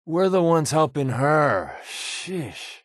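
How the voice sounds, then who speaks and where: Glib, wisecracking undercover agent, lively pitch. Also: male voice, slow, no room sound. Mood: dry, sarcastic. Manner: glib, dry sarcasm, conversational. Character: wisecracking male undercover agent and companion, sly, sardonic. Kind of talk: video game companion dialogue.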